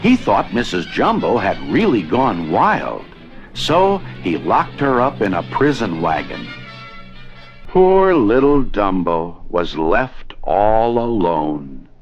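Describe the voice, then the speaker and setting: Slow, very animated male storyteller. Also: fairly low pitch, slightly rough, dull audio. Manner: expressive, deliberate storytelling. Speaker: storybook narrator. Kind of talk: scripted children's read-along narration. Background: music.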